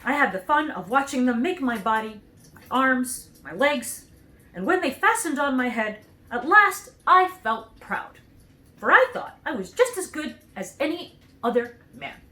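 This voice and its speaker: Woman playing an earnest, lively storybook scarecrow. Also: high voice, unhurried, full character voice. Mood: proud, curious. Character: cheerful, naive straw scarecrow man, earnest storyteller.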